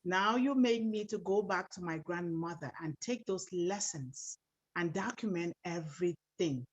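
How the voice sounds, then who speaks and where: A woman's voice: fairly low pitch, expressive, unhurried, slightly rough, lo-fi sound. A woman from Cameroon who calls in on a video call and earnestly tells a story.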